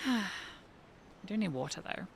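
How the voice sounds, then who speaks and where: A woman streaming a video game live, chatting and reacting excitably to the game. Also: mid-range voice, measured pace, very noisy. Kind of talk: live gameplay commentary. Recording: gravelly voice over clear game music.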